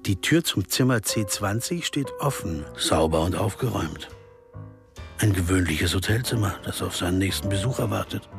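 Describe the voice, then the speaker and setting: A puzzled detective-story narrator with a fairly low voice. He muses tersely and wryly, putting questions to himself in radio-play style, with music clearly behind him. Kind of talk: radio crime drama first-person narration.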